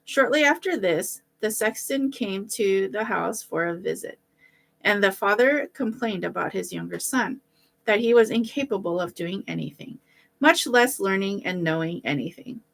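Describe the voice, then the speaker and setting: A woman reads a fairy tale aloud as if from a storybook. Her voice sits at a middle pitch, and her delivery is animated and informal. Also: moderate pace, creaky. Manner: animated, stops to correct slips and comment. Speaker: reader of a storybook.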